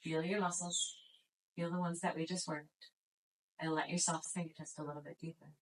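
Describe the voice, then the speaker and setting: Gentle, slow-paced female yoga instructor. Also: sits low, flat delivery, slight background noise, poor audio. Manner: slow, gentle, soothing cues. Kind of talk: guided exercise instruction.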